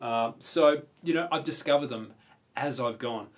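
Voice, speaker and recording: A man's voice: mid-range voice, normal speed. A man with an Australian accent presenting a casual guitar lesson in an informal, chatty, enthusiastic manner. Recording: guitar playing prominent alongside the voice. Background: acoustic or electric guitar strumming.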